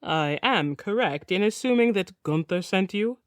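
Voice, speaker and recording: A woman's voice: mid-range voice, expressive, normal speed, dry recording, natural voice. A genial Scandinavian-sounding king of an audiobook saga, put on by a woman narrator. He is chatty and teasing with a casual menace and a Nordic accent. The sound is dry and close. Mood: gracious.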